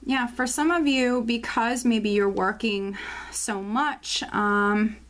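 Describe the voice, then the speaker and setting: A woman reading tarot cards for her viewers, talking in a casual, chatty way with many fillers and restarts, her voice slightly hoarse as she is losing it. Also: fairly high pitch, steady tone, slow, fairly bright tone. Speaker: tarot reader. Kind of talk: casual tarot forecast video.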